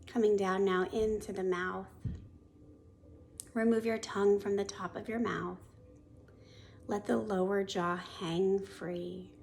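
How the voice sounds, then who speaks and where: Female meditation guide: soft, soothing delivery, very unhurried, with noticeable fry; some ambient noise. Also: medium pitch, steady tone. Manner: soothing, unhurried, gently instructive. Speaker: yoga and meditation teacher.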